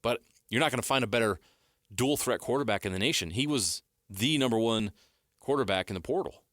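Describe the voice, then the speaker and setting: A man's voice: medium pitch, fairly bright tone, dry recording, studio quality. A man hosting a sports radio show, asking pointed questions and rattling off stats. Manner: leading questions, rapid stat rundowns.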